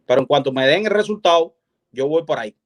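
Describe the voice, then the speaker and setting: A man's voice: a little high, lively intonation, fast. A man with a Cuban accent speaking as an activist guest on a live stream, emphatic and repetitive, often checking that he is understood. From Cuba. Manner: insistent, repetitive, emphatic, peppered with check-in questions. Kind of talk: impassioned political talk on a live stream.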